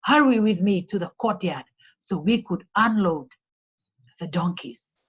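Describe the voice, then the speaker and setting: A poor woodcutter from a storybook read by a woman, practical and secretive. The voice is animated and a little husky, on a slightly lo-fi recording. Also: on the low side, a little slow. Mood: hushed urgency. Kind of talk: storybook character voice.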